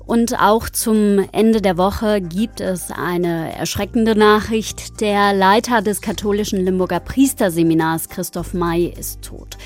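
A woman anchors a radio news programme, reading in a measured, serious way. Her pitch sits in the middle range, and soft music runs faintly beneath her. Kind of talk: scripted news presenting.

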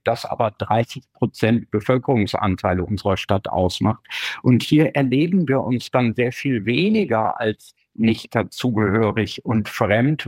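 A man who researches groups, answering interview questions in a measured, academic way and building his points step by step. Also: medium pitch, darkish tone, breathy edge, dry recording. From Germany. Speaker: researcher guest.

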